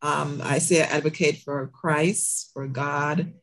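A woman's voice: deep voice, flat delivery. A woman speaking on a video call, giving a rambling, heartfelt comment with many ums. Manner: slow, rambling, with many ums and soft laughs. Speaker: audience member asking a question. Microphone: video call mic.

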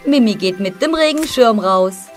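A woman's voice: medium pitch, lively intonation, normal speed, soft background music. A woman narrating a cartoon for toddlers, speaking in simple, exclaiming phrases as if to the characters and young viewers.

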